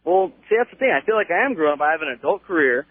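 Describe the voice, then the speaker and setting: Young man, early 20s, American accent, radio caller, casual, quick pace, slightly high voice, phone sound. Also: animated, no echo. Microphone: telephone. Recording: tinny phone line on radio.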